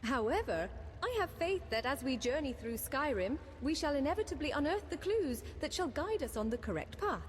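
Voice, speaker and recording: A courteous young female mage, acted by a woman, speaks as a loyal fantasy game companion in a fairly high voice. Her delivery is earnest, formal and measured, with faint noise on the recording. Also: very animated. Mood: earnest, pleased. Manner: formal, eloquent, gentle, measured. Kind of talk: scripted fantasy game dialogue.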